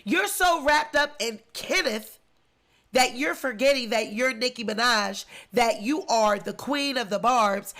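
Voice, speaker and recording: A woman's voice: on the high side, a bit bright. A congested woman with the flu giving indignant, emphatic celebrity commentary in a vlog. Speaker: commentary vlogger.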